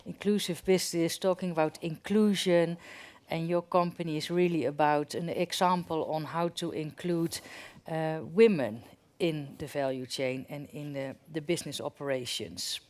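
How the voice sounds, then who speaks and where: Moderator on a conference panel, chatty, smooth clear voice. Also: mid-range voice, fairly even intonation, normal speed, darkish tone. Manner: conversational, with "uh" fillers.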